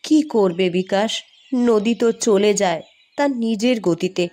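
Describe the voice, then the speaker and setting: A woman's voice: medium pitch, measured pace, dark tone, natural voice. A woman voicing a poor, worried village wife and mother in a cartoon melodrama, plaintive in manner. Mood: sorrowful. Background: background music.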